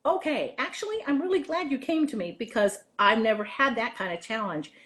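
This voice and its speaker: Chatty higher-voiced woman giving teaching advice. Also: animated, a little fast, a bit bright. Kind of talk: casual interview chat, practical advice.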